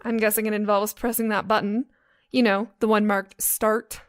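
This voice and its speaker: A woman's voice: medium pitch, flat delivery, normal speed, natural voice. A woman playing a cool, determined professional who steadies her friend, dryly teasing, in an audio drama. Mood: composed, teasing.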